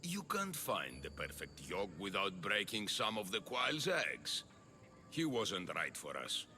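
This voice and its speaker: An actor playing a smug, scheming Athenian politician in a video game, oratorical, menacing and condescending. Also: male voice, on the low side, wildly swooping pitch, slow, bright, forceful tone, exaggerated voice. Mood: smug, persuasive. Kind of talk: dramatic video game cutscene acting. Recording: music behind the voice.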